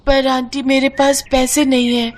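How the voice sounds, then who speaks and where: An innocent little girl from a moral tale, played by a woman. Her high voice is worried, earnest and pleading, with faint noise behind it. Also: flat delivery, unhurried. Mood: sad, earnest.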